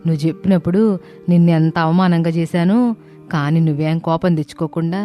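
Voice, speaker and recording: A woman's voice: deep voice, steady tone, brisk, warm tone, faint music behind, lightly acted. Woman playing a humbled elderly mother-in-law. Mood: remorseful.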